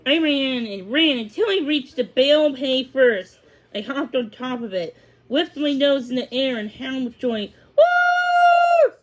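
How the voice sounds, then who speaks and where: A woman's voice: sits high, normal speed. A woman narrating a scripted children's story as a read-along.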